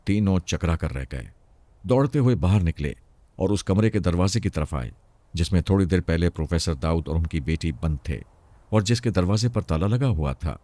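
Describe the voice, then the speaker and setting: Deep, dark-voiced male storyteller. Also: no echo. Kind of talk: scripted suspense story narration.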